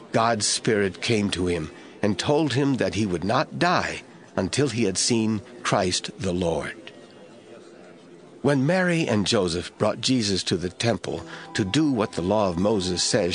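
A man giving measured, scripted Bible narration in a storytelling manner. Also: fairly low pitch.

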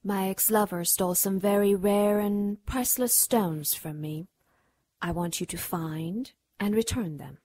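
An actress playing a mysterious, self-assured seductive client in a noir radio drama, speaking in a formal, measured, commanding way with a husky voice. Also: female voice, mid-range voice, steady tone, warm tone. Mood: cool, guarded.

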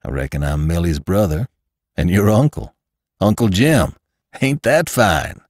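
A man voicing a gentle older Western gunman who speaks slowly with a soft, rich drawl. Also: low-pitched, sing-song, dry recording, clean studio sound, exaggerated voice. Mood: warm. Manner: slow, tender, folksy.

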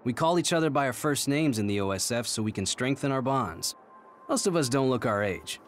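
A man's voice: medium pitch, lively intonation, fairly quick, slight background noise, close to an everyday voice. A man playing an affable, composed military captain in an anime game, easygoing yet authoritative. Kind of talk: English anime-game voice acting. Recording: faint music behind the voice.

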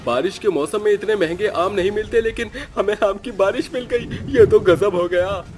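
Cartoon villager, played by male narrator: high strained-up pitch, dramatic, exclaiming, excitable, music underneath. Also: moderate pace, lightly acted. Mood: amazed delight. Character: excitable, alarmed village man. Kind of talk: Hindi cartoon story acting.

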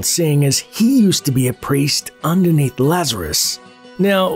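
A man narrating a scripted lore video in a dramatic style with theatrical emphasis. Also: a little high.

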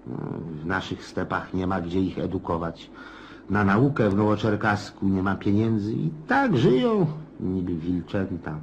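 An actor playing a garrulous, gloomy and pushy old retired Cossack officer in a radio play, rambling in a folksy, insistent way with hums and chuckles. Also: male voice, low voice, big pitch swings, moderate pace, dull audio, slight background noise. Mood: grumbling, wry. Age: older.

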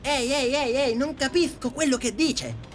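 A woman voicing a confused, halting man who has newly died, in an audio drama. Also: high voice, natural voice. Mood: hesitant, searching. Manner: halting, bewildered. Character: confused, hesitant newly dead man, passenger on an afterlife train.

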